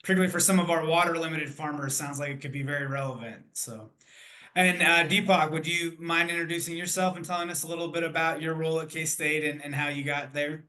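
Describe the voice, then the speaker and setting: A male podcast host talks fast in a bright, somewhat high voice, friendly and encouraging as he moves the conversation along. His voice carries a noticeable echo from the room around him. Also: fairly even intonation. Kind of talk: podcast interview. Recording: roomy echo.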